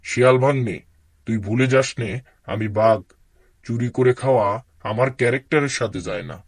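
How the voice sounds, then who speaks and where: A man voicing a proud, greedy tiger in a cartoon, with a rough, husky, self-important delivery. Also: mid-range voice, normal speed, no echo, natural voice. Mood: proud, stern. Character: gruff, proud, greedy tiger uncle, dim but commanding. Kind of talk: cartoon dubbing.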